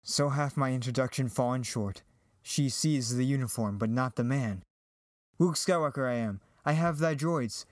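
A man's voice: mid-range voice, moderate pace, dry recording, clean studio sound, lightly acted. A man playing an earnest young hero, reciting Shakespearean verse in an amateur dramatic reading.

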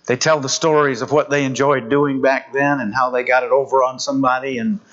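A man preaching a sermon, reading scripture in an earnest, measured way. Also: mid-range voice, flat delivery, fairly bright tone, muffled recording.

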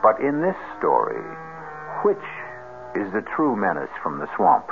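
A man playing the ominous host of a 1970s radio horror show, narrating in a gravelly, dramatic, deliberate manner. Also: fairly low pitch, wildly swooping pitch, phone-quality sound, full character voice. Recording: thin, noisy 1970s radio recording.

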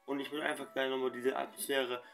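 A man's voice: mid-range voice, level tone, a little fast, vocal fry, fairly bright tone. A young man hosting a casual let's play, talking in a rambling way with many fillers and restarts.

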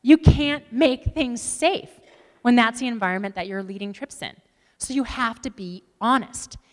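A woman in her thirties giving a motivational keynote speech on stage, speaking deliberately and emphatically with dramatic pauses. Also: fairly high pitch. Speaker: climbing expedition leader. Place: large auditorium stage with wooden floor. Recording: echoey hall with long reverb.

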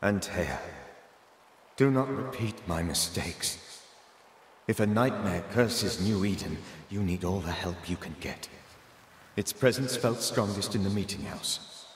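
A man's voice: low voice, expressive. A man playing the ghost of an older reverend and mentor in a video game, grave, pleading and formal. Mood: solemn warning. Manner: solemn, measured, formal phrasing. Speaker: reverend and former banisher. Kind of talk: dramatic video game acting. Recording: echoey hall reverb, faint noise, ambient music.

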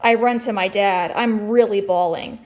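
A woman's voice: mid-range voice, steady tone, moderate pace, a little mellow. A woman reading a storybook aloud expressively as a teacher, with explanatory asides and questions for children.

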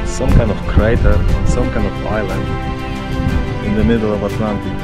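A middle-aged man co-hosting a travel vlog, chatting casually to a handheld phone camera in a van, laid-back and halting with lots of fillers. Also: on the low side, fairly even intonation, recorded from a distance, loud music behind the voice. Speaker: vlog co-host. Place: inside a van.

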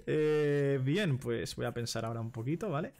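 A young man from Spain streams from a small room at home and chats casually as he plays. His voice is mid-pitched, and he thinks aloud with a steady stream of quick check-ins. He is recorded close on a desk microphone. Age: young adult. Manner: chatty, thinking aloud, fillers like "vale" and "¿vale?". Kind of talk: casual live stream chat while playing a card game. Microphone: desk microphone with headset.

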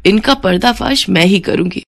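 A woman voicing a caring, sensible elderly mother-in-law in a cartoon story, speaking in a measured way. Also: mid-range voice, creaky. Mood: puzzled.

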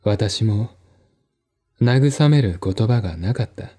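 A man's voice: fairly low pitch, animated, slow pace, mellow. A man narrating a mystery story in the first person, as a dramatic literary reading. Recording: music behind the voice.

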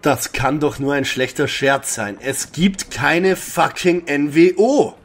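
A man's voice: fairly high pitch, takes it slowly. A man playing a skeptical, blunt schoolboy detective in a parody detective audio play.

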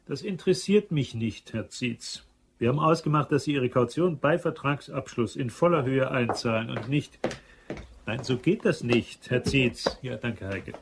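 A man's voice: mid-range voice, normal speed, gravelly, background noise, close to an everyday voice. A man playing an irritable, stressed business manager in an audio crime drama. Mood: curt, irritated. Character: irritable, stressed male business manager, fretting over his mother. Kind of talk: German radio play dialogue.

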